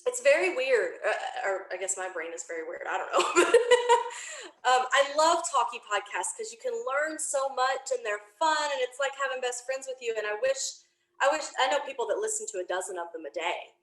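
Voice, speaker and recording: Woman, podcast guest, fairly high voice, laughs while talking, casual chat, echoing room. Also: expressive, a little fast, fairly bright tone. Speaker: podcast guest, audio drama creator. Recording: roomy, echoing sound.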